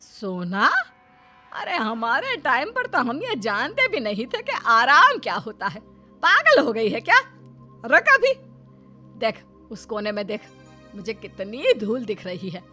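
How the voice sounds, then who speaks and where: A boastful, taunting mother-in-law for a family cartoon. Her high voice swings animatedly as she scolds and nags. Music plays behind her. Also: wildly swooping pitch, measured pace, bright, forceful tone, heavily acted. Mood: scornful. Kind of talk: Hindi family cartoon voice acting.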